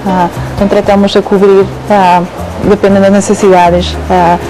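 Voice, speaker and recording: A woman's voice: medium pitch, level tone, normal speed, music in the background, poor audio. A woman working at a newspaper, answering interview questions hesitantly with many fillers. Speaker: newspaper staff member.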